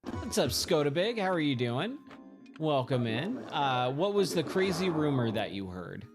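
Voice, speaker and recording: A hoarse man chatting casually while he streams himself playing pinball, thinking aloud and getting sidetracked mid-sentence. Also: on the high side, sing-song, measured pace. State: hoarse, scratchy voice. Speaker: game streamer. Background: game music.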